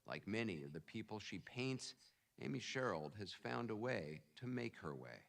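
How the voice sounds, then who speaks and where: Man, news narrator, lowish voice, measured and formal, heard from a distance. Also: big pitch swings, creaky, a bit bright. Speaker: broadcast news reporter. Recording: distant sound from a clip played back.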